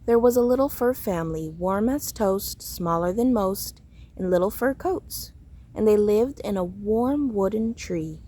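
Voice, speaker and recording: A woman reading a children's story aloud in a deliberate, expressive storytelling way. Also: mid-range voice, breathy edge. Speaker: storybook reader.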